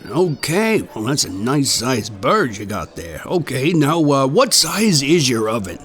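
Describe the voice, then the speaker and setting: A man's voice: mid-range voice, very animated, measured pace, a bit bright. A man playing a patient hotline helper. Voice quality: polite, patient. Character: polite male hotline helper.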